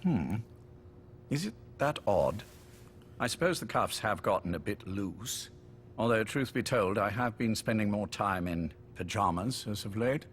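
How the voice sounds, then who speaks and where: Casting: older, ailing spymaster for game drama; lowish voice, urbane, wry, quietly menacing. Noisy, rumbling recording. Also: male voice, expressive. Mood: courteous, wry. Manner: urbane, measured, wryly polite. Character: genteel, sickly older British male spymaster, courteous but menacing.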